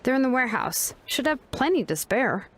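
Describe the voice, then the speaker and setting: Kind, higher-voiced young warrior. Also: female voice, big pitch swings, a little fast, a little hiss or hum. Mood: supportive. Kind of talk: scripted video game voice acting.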